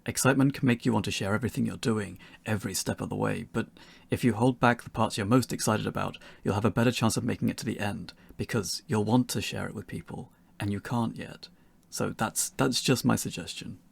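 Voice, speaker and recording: A man presenting a pre-recorded instructional talk as a game developer, in a measured, explanatory manner. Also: fairly low pitch, gravelly, breathy edge, no echo.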